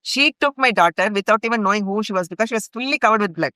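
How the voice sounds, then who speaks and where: A woman's voice: mid-range voice, expressive, rapid-fire, pushed and bright, no echo, clean studio sound. A middle-aged woman from India with an Indian English accent, earnestly telling a long personal story as a podcast guest. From India (grew up in Bhopal). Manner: earnest, recounts events in long chains with fillers. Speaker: guest, longtime ashram volunteer.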